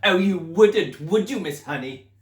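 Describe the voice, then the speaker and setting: A man's voice: expressive, measured pace. A man barking as a furious giant headmistress. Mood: scornful. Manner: booming, scornful tirades. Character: huge, furious, bullying female headmistress. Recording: roomy echo.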